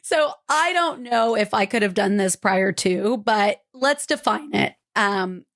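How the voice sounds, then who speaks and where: A woman in her forties from California, co-hosting a podcast while hoarse from a cold, mixing casual joking talk and occasional swearing with a scripted explanation. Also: mid-range voice, expressive, a little slow, a bit bright. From California, United States. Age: 40s. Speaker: podcast co-host.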